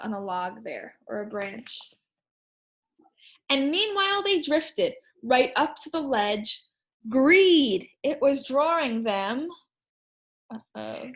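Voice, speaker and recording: Female primary teacher for picture-book read-aloud: high voice, unhurried pace, expressive, questioning delivery. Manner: expressive, questioning, explains simply to children.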